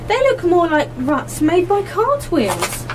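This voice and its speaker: Woman acting a very high-voiced, fond dog owner. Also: sing-song, takes it slowly, heavily acted. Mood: concerned. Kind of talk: amateur radio play acting. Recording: noisy live amateur radio broadcast recording.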